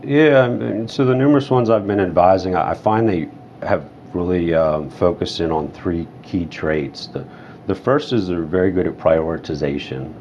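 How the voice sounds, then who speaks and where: Very deep-voiced man on a panel. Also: moderate pace, a little mellow. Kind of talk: panel discussion. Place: hotel room studio set. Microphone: lapel. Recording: dull sound, faint room hiss.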